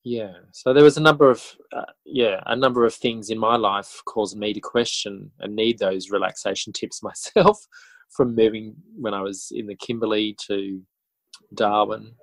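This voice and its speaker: An Australian man in his forties talks as a podcast guest. His voice sits fairly low, with a very dry sound. He tells things casually and reflectively, often pausing and rewording as he goes. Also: steady tone, no echo. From country New South Wales, Australia. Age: 40s. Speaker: interview guest, schoolteacher and author.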